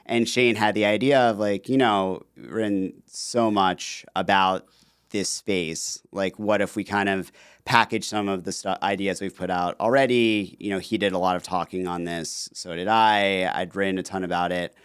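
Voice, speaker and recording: Low, smooth-voiced man telling a story. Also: normal speed, dry recording, clean studio sound. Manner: relaxed storytelling with frequent fillers. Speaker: podcast guest, author. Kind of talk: casual interview conversation.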